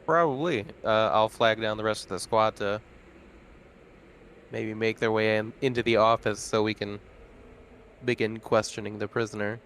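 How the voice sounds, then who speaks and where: A man with a somewhat low voice playing in a tabletop game. He speaks calmly and drily, at an even pace. Faint noise runs behind him. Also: moderate pace, long pauses. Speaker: player.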